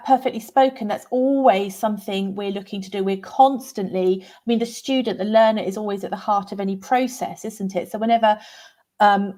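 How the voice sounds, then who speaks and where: A woman co-hosts a relaxed discussion in an even, mid-pitched voice. She talks thoughtfully and often finishes her points with tag questions. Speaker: co-host, head of educational content. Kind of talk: casual discussion.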